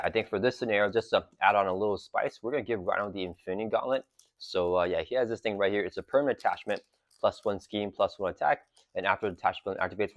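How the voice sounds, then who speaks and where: A man's voice: deep voice, no room sound. A man hosting his channel, chatting casually through an unboxing of a card game with lots of fillers, his throat sore and a cough drop in his mouth. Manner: relaxed, rambling, many fillers. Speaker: channel host.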